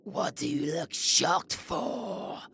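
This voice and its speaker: Casting: male actor as undead British necromancer villain for audio roleplay; sardonic, flirtatious, gravelly, with wildly swooping theatrical delivery over faint background noise. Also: high voice, very animated, sharp tone, echoey room, heavily acted. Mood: indignant, strained. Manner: theatrical, sarcastic, swearing, drawn-out delivery. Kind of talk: intimate audio roleplay acting, addressed to the listener.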